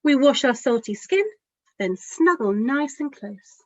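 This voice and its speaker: A woman with a fairly high voice reads a picture book for young children. She is expressive and speaks straight to her listeners as a storytime author would. The sound is dry and a little dull. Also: unhurried, dry recording. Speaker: children's author reading aloud.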